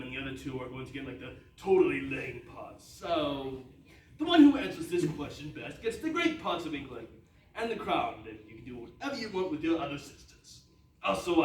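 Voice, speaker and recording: A man's voice: on the high side, slight background noise, lightly acted. A man playing a naive, dim-witted old king and father in a comic stage play, theatrical and dithering. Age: fifty, as the figure. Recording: echoey room, far from mic.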